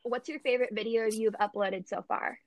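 A woman's voice: on the high side, steady tone, a little fast, dry recording. An American woman co-hosting a podcast, asking questions in an informal, encouraging, casual way. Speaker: podcast host. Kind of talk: casual chat.

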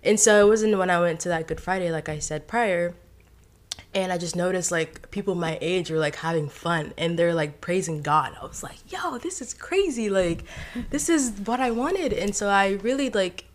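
A woman's voice: on the low side, normal speed. A woman in her twenties from Haiti, a podcast guest telling her personal story in a candid, casual way, laughing often and using lots of fillers. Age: 20s.

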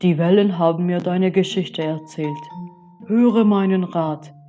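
A woman voicing a wise old octopus in a storybook, with a deep, slow and solemn voice, soft music behind. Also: natural voice.

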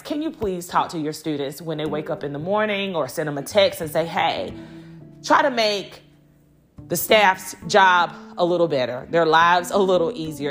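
A middle-aged woman hosting a solo podcast, talking conversationally and telling stories in a chatty, animated way with quoted asides. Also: low-pitched. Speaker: podcast host.

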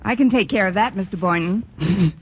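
A woman's voice: medium pitch, brisk, close to an everyday voice. An actress playing a witty, wisecracking female English teacher in a 1940s radio sitcom, narrating and trading dry quips. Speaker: schoolteacher lead. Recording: dull old radio broadcast with loud hiss.

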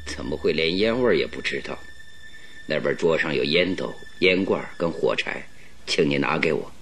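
低沉的名侦探福尔摩斯，病危临终. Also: male voice, a bass voice, lively intonation, moderate pace, creaky, noisy background, full character voice. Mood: firm, resolute. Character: famous male detective feigning deathly illness, cunning. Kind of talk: radio drama acting.

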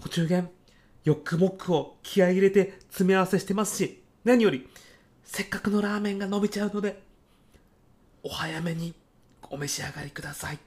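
A man's voice: high-pitched, fairly quick, long pauses, a little mellow, close to an everyday voice. A man acting as an earnest, overzealous young salesman who moonlights as a food courier in a comic radio drama, speaking in eager, casual-polite salaryman style. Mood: sincere, polite.

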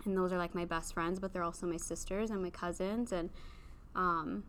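A woman's voice: fairly low pitch, fairly even intonation, moderate pace, a bit bright, a little hiss or hum. A young woman from Canada, a podcast guest, talking openly and reflectively in a casual interview, laughing easily.

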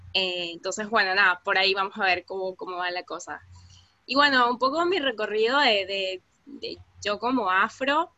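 Venezuelan woman, bright clear voice, dry close mic, slightly muffled, relaxed chat full of fillers. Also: medium pitch, no room sound. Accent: Venezuelan Spanish, Caracas. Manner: relaxed, lots of fillers, laughs easily. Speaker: guest. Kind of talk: casual podcast interview.

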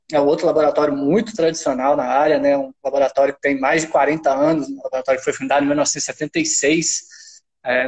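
A man from Minas Gerais with a Minas Gerais accent, chatting as a researcher guest on a podcast, talking freely with lots of tag questions. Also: on the high side. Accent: Minas Gerais Brazilian Portuguese. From Minas Gerais, Brazil. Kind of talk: casual conversational podcast.

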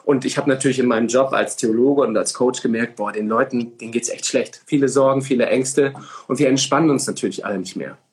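A man's voice: mid-range voice, quick pace. A talkative man chatting enthusiastically as a guest in an interview, with many fillers. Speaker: singer and coach, interview guest. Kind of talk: casual interview chat.

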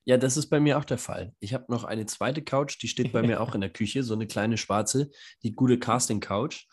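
A man co-hosting a podcast from his home kitchen, hoarse and scratchy from a cold, chatting casually with dry, teasing humor. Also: medium pitch, moderate pace, a little mellow. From Northern Germany. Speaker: podcast co-host. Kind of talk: casual chat. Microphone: home mic in a kitchen.

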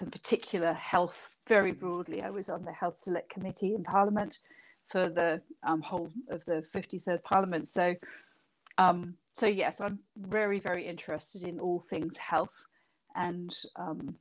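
A woman's voice: fairly low pitch, poor audio. A middle-aged British woman, a former lawmaker, talking as an interview guest in a chatty, rambling way and laughing easily. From Britain. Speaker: guest, former member of parliament. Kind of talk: casual interview chat.

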